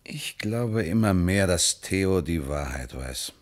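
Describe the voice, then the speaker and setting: An actor playing a calm, probing Paris police commissioner in a German radio crime drama, speaking with measured deliberation. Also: male voice, sits low.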